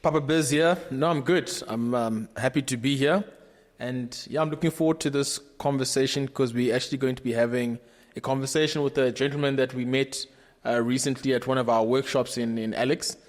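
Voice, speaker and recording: A man co-hosting a business podcast, relaxed and slightly hesitant. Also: mid-range voice, a little fast, long reverb tail. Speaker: podcast co-host. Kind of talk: hosted interview.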